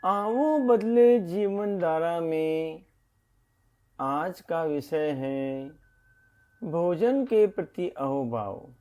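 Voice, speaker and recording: A man leading a scripted guided meditation in a soothing, deliberate manner, with pauses and gentle repetition. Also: a little high, animated. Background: meditation music.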